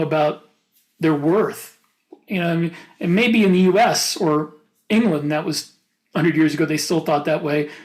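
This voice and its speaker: A man's voice: on the high side, rough recording. An American man co-hosting a podcast, talking casually and giving opinionated, rambling explanations. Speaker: podcast co-host. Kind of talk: casual panel discussion.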